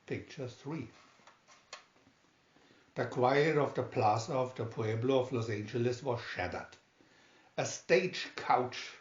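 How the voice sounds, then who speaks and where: A man reading a story aloud as narrator, deliberate and halting phrase by phrase, with small stumbles. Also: medium pitch. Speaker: amateur audiobook reader. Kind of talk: scripted story narration, read aloud slowly. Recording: roomy, dull home recording.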